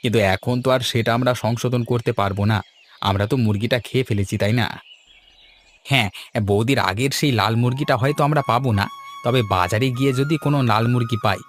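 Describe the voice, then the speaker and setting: A man's voice: mid-range voice, quick pace, soft background music, natural voice. A man voicing an emphatic, argumentative young village lad who schemes with friends in a cartoon story. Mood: regretful.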